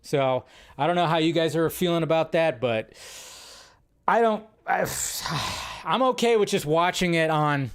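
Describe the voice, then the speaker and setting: A man's voice: on the high side, unhurried, clean tone. A young adult man hosting a live movie news stream, chatty and rambling, a little sleep deprived. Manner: chatty and rambling, loses his train of thought. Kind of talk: casual live-stream commentary.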